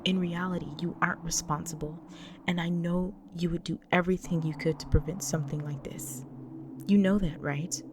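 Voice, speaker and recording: A woman playing a gentle, protective vampire wife in an intimate audio roleplay, speaking tenderly in a breathy, near-whispered voice. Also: on the low side, fairly even intonation, lightly acted. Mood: firm, reassuring. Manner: tender, slow, soothing. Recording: noisy track with loud ambient sound.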